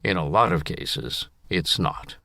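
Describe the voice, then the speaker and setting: A man's voice: very deep voice, takes it slowly, vocal fry, background noise. An older man reading his own personal story in a scripted narration style.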